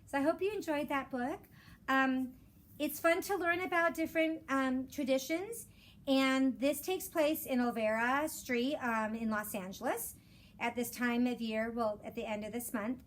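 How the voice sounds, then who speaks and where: High-voiced woman reading to children. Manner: measured storytelling with rhymed cadence. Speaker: elementary school teacher. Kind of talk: expressive children's picture book read-aloud. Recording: clear background noise.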